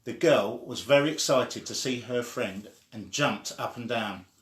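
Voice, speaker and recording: A man reading a children's story aloud in an expressive way, sometimes stumbling and starting again. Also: medium pitch, measured pace. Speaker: story reader. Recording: echoey room.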